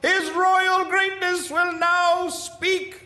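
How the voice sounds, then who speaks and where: A man playing a loud, pompous town crier who declaims royal announcements in a comic radio play. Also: very high voice, level tone, drawn-out words, reverberant, heavily acted. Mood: grandly proclaiming. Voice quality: yelling, declamatory delivery. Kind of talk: full-cast comic radio theatre.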